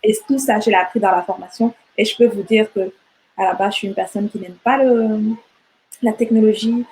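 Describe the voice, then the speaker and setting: Animated woman from Rwanda, chatty. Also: medium pitch, a little fast, poor audio. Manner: animated, repeats words and restarts often. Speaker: guest entrepreneur. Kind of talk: casual interview chat.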